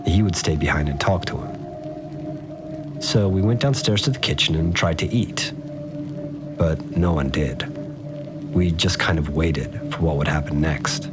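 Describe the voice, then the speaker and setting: A man's voice: extremely low pitch, a little slow, long pauses, music in the background. A man playing a troubled, doubting fixer, speaking hesitantly and plainly in a confessional audio drama monologue. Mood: uneasy, confused. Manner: hesitant, plain-spoken, uneasy. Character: troubled, doubting male fixer recounting events.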